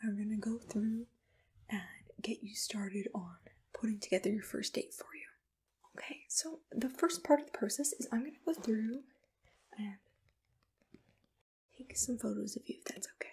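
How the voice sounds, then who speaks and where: A woman whispering softly and attentively in a soothing, reassuring ASMR roleplay. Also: fairly high pitch, moderate pace, pauses often, a little hiss or hum. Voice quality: breathy whisper.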